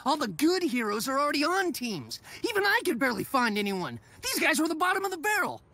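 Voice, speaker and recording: An actor voicing a bossy, excitable teenage boy superhero leader in a cartoon, commanding and dramatic. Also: high-pitched, fast talker, no room sound, full character voice. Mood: indignant. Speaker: team leader. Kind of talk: animated cartoon voice acting. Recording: music behind the voice.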